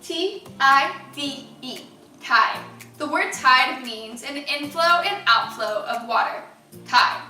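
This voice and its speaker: High-pitched woman reading aloud, echoey room. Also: lively intonation, slow pace, sharp tone, far from the mic, faint music behind. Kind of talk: expressive read-aloud storytelling for children.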